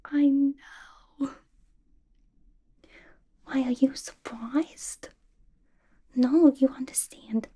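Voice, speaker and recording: A woman performs a manic young female asylum villain in a whispered ASMR roleplay. The voice is breathy and soft-edged, fairly high, with an unhurried delivery. She gossips in playful slang, chummy and mischievous, as if sharing secrets. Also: fairly even intonation, heavily acted. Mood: gossipy, conspiratorial.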